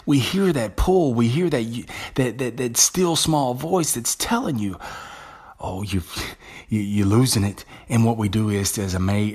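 A man hosting his own podcast, talking through a personal story in a casual, conversational monologue with frequent restarts. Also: on the low side. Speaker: podcast host. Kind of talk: motivational monologue.

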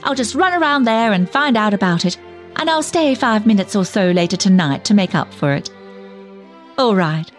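A woman's voice: medium pitch, animated, moderate pace, faint music behind, close to an everyday voice. A woman playing a gossipy, self-righteous village dressmaker in a comic monologue, chatty, nosy, opinionated and tactless as she rambles from one thing to the next. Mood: breezy, excusing herself. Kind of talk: comic dramatic monologue, audio storytelling.

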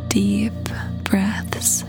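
A woman guides a meditation in a gentle, breathy half-whisper. She speaks very slowly, leaving long pauses, and her pitch barely moves at all. Clear music plays behind her calm voice. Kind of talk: guided meditation, slow soothing narration. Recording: voice over prominent background music.

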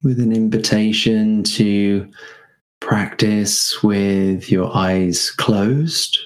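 Slow, low-voiced man guiding meditation. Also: steady tone. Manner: gentle, unhurried, spacious pauses. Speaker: meditation guide. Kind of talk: guided meditation.

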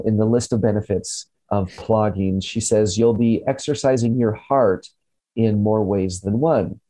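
Upbeat male host with a dark tone. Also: fairly low pitch, no room sound, poor audio. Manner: upbeat, punny, laughs often. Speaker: radio show host. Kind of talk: casual scripted health segment. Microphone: remote call from home.

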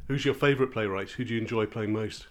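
A man's voice: lowish voice, fast talker. A man hosting a local podcast, interviewing and presenting in a conversational way.

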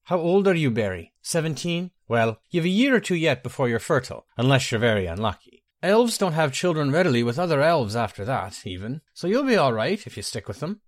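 A man voicing a frank, motherly middle-aged woman with a brisk, matter-of-fact manner. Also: a little high, big pitch swings, no room sound, clean studio sound, voice pushed up.

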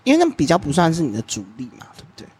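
A man's voice: fairly high pitch, wildly swooping pitch, very fast, a little mellow, husky. A man hosting an interview podcast, chatting casually and giving long, talkative explanations. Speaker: podcast host.

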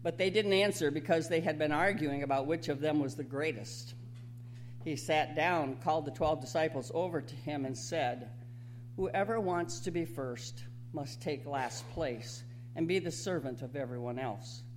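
A woman's voice: low voice, normal speed. A woman reading scripture aloud as a lay reader in worship. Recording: noisy recording with hum.

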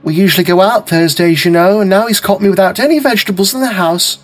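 Man putting on a falsely bright young wife. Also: quick pace, soft background music, close to an everyday voice. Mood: forced cheer. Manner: high, forced bright tone.

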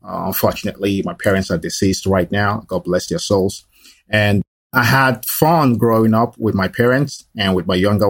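A middle-aged man from Nigeria, a podcast guest recounting his life in relaxed talk full of "you know". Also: deep voice, no echo. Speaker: guest, small business owner. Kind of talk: conversational life story.

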